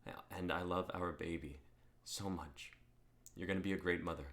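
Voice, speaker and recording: A man's voice: deep voice, fairly even intonation, normal speed, fairly bright tone. A man playing an exasperated, protective young husband with a sardonic, urgent manner in a dramatic script reading. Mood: grave, tender.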